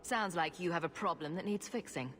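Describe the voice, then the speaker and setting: A woman's voice: lowish voice, brisk, a bit bright, close to an everyday voice. A woman playing a wry, confident adventurer in a video game, sardonic and self-assured. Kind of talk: video game cutscene acting.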